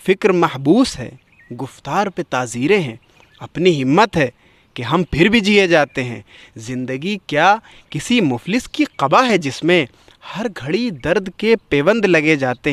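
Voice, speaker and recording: A man's voice: on the high side, animated, measured pace, no room sound. A man giving a literary talk and reciting poetry in a declamatory, emphatic way with formal phrasing.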